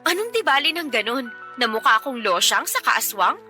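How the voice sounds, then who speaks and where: A woman's voice: a little high, big pitch swings, brisk, pushed and bright, soft background music, exaggerated voice. A woman playing a tired, pregnant young mother who feels insecure in a radio drama, speaking emotionally and expressively. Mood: hurt. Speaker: wife character in a radio drama.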